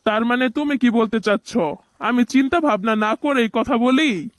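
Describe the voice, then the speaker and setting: Man voicing a high-voiced grumbling village uncle. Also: normal speed, no echo, studio quality. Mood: huffy. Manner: scolding, indignant, colloquial. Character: grumbling, easily offended older village uncle. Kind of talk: cartoon voice acting.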